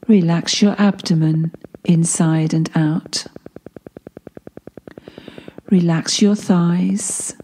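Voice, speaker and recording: A woman leads a guided meditation in a lowish, very mellow voice. Her delivery is calm and extremely unhurried, with long pauses between short phrases. A faint layer of background sound sits beneath her. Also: a little hiss or hum, rough recording. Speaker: guided meditation instructor and life coach. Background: soft healing-frequency sound.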